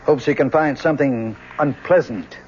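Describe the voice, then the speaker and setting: A man's voice: medium pitch, moderate pace, a little mellow, natural voice. An actor playing a weary, genteel older professor and father in an old-time radio drama, hesitant and donnish in his phrasing. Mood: uneasy. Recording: muffled, noisy old radio recording.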